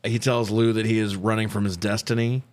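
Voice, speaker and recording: A man with a fairly low voice talks through a film as a podcast co-host. His style is casual and slangy, peppered with profanity. He tells it like a story, acting out the quoted lines as he goes. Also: little pitch movement, brisk, a little mellow. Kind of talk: casual movie recap banter.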